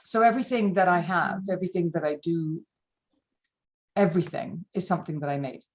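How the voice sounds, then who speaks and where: A woman's voice: fairly low pitch, brisk, darkish tone. A middle-aged woman from Malawi, an interview guest speaking candidly and emphatically, often repeating words for stress.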